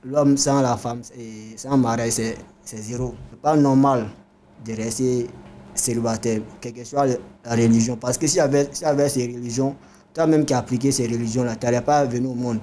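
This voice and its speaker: A man's voice: mid-range voice, steady tone, normal speed, mellow, slight background noise. A young male vocational student giving his opinion firmly in a classroom debate. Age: young adult. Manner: firm, opinionated.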